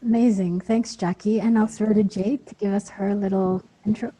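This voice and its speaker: A woman's voice: mid-range voice, steady tone, normal speed, warm tone, husky, rough recording. A woman raised in Australia, moderating an online music industry panel in a casual way with frequent ums. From Philippines, raised in Australia. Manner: casual, hesitant with many ums, introduces and hands off to guests.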